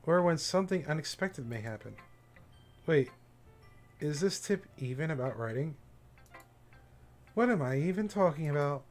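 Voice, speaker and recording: Higher-pitched man streaming a game over music. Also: expressive, moderate pace, pauses often. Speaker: livestreamer playing a visual novel. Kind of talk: casual playthrough commentary, reading game text aloud.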